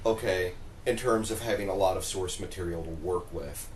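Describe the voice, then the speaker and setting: A man's voice: sits low, moderate pace, darkish tone, a little hiss or hum. A man talking as a panelist in a casual, conversational discussion. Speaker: podcast panelist.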